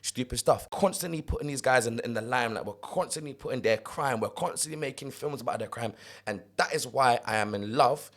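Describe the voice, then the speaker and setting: A young man from South London with a London accent, co-hosting a casual podcast chat, animated and repeating words as he gets going. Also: mid-range voice, a little fast, hardly any pauses. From South London, England. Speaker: podcast co-host.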